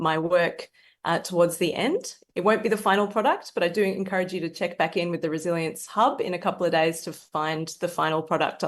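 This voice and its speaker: An Australian woman joining remotely over a video call, introducing herself in a friendly, enthusiastic way with fillers. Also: lowish voice. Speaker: cartoonist and climate campaigner joining remotely.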